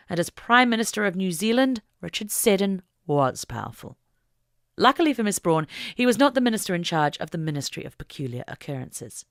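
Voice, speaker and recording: A woman narrating an audiobook story in a deliberate, expressive, dramatic reading style. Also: fairly low pitch, vocal fry, no echo, clean studio sound.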